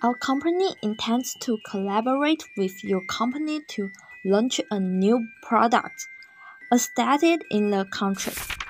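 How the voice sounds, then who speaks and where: Formal, higher-voiced businesswoman, acted by a woman. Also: expressive, measured pace, poor audio. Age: young adult. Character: formal businesswoman negotiating a partnership. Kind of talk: student English radio drama acting. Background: faint background music.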